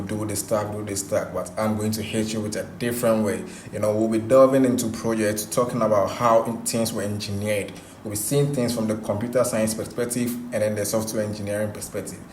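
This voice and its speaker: Deep-voiced man giving a casual coding tutorial. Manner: conversational, halting, repeats himself. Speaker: host of a coding tutorial channel. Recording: roomy echo, faint background noise.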